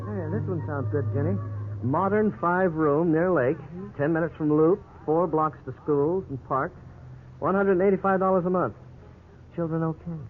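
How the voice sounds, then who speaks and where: A man's voice: on the high side, normal speed, music in the background, lightly acted. An actor playing an irritable, sarcastic husband and father, grumbling defensively in 1950s radio drama style. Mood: wry, hesitant. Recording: old noisy 1950s radio, narrow band.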